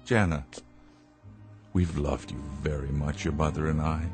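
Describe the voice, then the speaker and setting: A man's voice: rumbling low, fairly even intonation, measured pace, a little mellow, faint music behind. An actor playing a proud, earnest inventor father in a radio drama, speaking with emphatic, theatrical deliberation. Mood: pleading.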